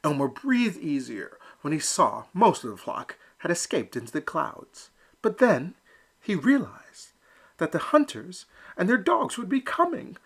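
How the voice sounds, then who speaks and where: Man, storybook read-aloud, slow, gravelly, husky, lively pitch. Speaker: storybook reader. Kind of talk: children's read-aloud narration.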